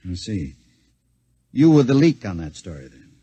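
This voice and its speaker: An actor playing a stern boss, curt and questioning, in 1950s radio drama style. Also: male voice, on the low side, big pitch swings, moderate pace, mellow, slightly rough. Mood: stern, probing.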